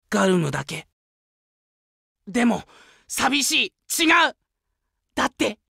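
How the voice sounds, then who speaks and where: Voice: medium pitch, gravelly, pushed and bright, slightly rough, dry recording, clean studio sound, exaggerated voice. An actor playing an eager, childlike, fiercely loyal wolf guard dog in an anime game, speaking in simple, exclaimed phrases. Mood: lonely, subdued, then excited, pleading. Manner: simple broken childlike speech, exclaiming, needy for praise. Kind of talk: anime game character voice lines.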